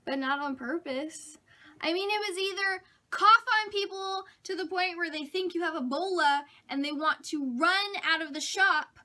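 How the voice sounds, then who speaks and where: A young woman, a bit hoarse from a cold, telling funny stories about her week to camera in a chatty, self-mocking way. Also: high-pitched, bright, forceful tone. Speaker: vlogger. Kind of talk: casual chat to camera.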